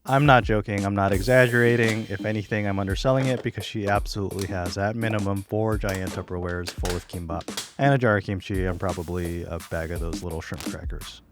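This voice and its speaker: A man's voice: deep voice, fairly even intonation, fairly quick. A young man from the United States, whose first language was Korean, narrating his personal film in a reflective, candid, wry voice-over. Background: guitar music.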